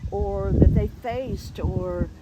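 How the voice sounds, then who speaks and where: A woman hosting a casual interview, talking informally and somewhat haltingly as she thinks aloud. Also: mid-range voice, dull audio, heavy background noise. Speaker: interviewer, host.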